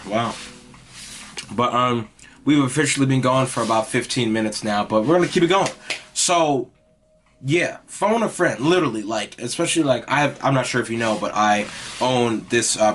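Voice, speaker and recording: A young man with a raspy voice talks in a casual, wandering monologue as host of his own podcast. His delivery stays mostly monotone, with his pitch sitting in the middle of a man's range. He fills his sentences with "like" and "you know" as he drifts between thoughts. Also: a little hiss or hum. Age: young adult. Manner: rambling, heavy use of "like" and "you know".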